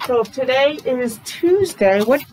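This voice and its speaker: A woman's voice: sits high, animated, takes it slowly, a little mellow. A middle-aged woman leading a lesson for small children in simple, sing-song talk with questions, slightly muffled by a face mask, recorded in a classroom at arm's length. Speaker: preschool teacher. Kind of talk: children's morning meeting lesson, asking questions. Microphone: device at about arm's length. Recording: noisy room, device microphone.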